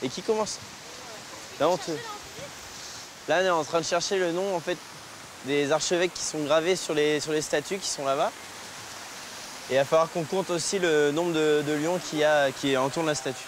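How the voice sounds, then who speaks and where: A man's voice: on the high side, normal speed, pauses often. A man in his twenties talking casually and candidly as a new pastry employee, outdoors in the city. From France. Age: 20s. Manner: relaxed, candid, chatty. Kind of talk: casual interview. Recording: outdoor street noise.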